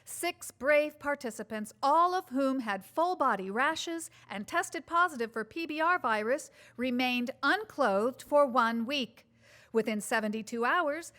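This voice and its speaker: A woman playing a prim, uptight TV news anchor in a comedy sketch, formal and deadpan. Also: high-pitched. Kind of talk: scripted newsreading in a comedy sketch.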